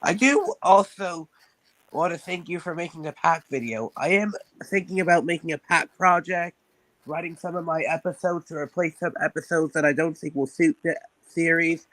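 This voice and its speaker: A man's voice: on the high side, normal speed, fairly bright tone, dry recording, poor audio. A man guest on a live stream, eagerly rambling through his questions. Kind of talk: casual chat.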